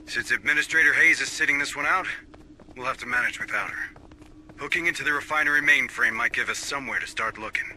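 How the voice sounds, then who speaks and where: An actor playing a gruff, stubborn commander of space marines in a video game, giving clipped, commanding briefings with dry sarcasm. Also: male voice, medium pitch, fast, pushed and bright, a little hiss or hum, low-quality recording. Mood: commanding, focused. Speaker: military commander. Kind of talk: video game cutscene acting and mission briefing.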